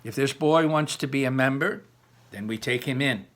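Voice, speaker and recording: A man voicing a firm, fair-minded male schoolteacher in a storybook, speaking with calm authority to settle a quarrel. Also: medium pitch, moderate pace, close to an everyday voice.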